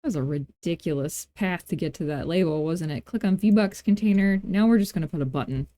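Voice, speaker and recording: A woman hosting a software tutorial in a casual, playful way, thinking aloud and tossing in self-deprecating asides as she works. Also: fairly low pitch, fairly quick, no room sound. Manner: informal thinking aloud while working. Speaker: tutorial host. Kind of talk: casual screen-recorded software tutorial narration.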